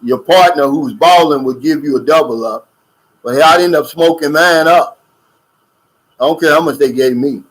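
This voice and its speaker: A man's voice: on the high side, normal speed, poor audio. A middle-aged man hosting a casual livestream, reading viewer comments and chatting loosely with lots of fillers and asides. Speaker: YouTube channel host. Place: lives in the Philippines.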